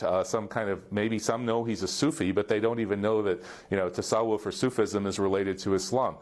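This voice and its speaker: Low-voiced scholarly panel guest. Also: male voice. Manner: thoughtful, scholarly, many filler pauses. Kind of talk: panel discussion.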